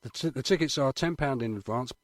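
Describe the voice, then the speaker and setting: A man's voice: medium pitch, brisk, no room sound, clean studio sound. An English man co-hosting a radio show, chatting casually in an agreeable, matey way. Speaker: radio co-host.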